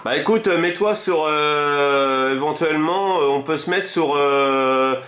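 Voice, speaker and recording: A man's voice: fairly high pitch, unhurried, very noisy. A man in a casual CB radio chat, speaking in a rambling, repetitive way as a hobby operator. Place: indoors at a fixed home radio station. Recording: roomy echo around the voice.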